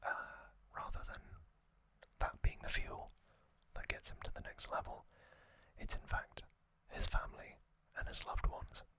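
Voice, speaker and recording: Slow, whispering male ASMR host. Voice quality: breathy unvoiced whisper. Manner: gentle, unhurried, halting phrases. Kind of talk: whispered ASMR plot recap. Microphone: close ASMR microphone.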